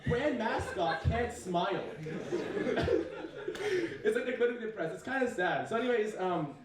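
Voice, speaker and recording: A man's voice: sits high, very animated, a little slow, heavy reverb, far from the mic, heavy background noise. A young man whose first language is Chinese, doing a casual stand-up set full of fillers, swearing and self-mockery. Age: young adult. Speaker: stand-up comedian. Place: university comedy stage.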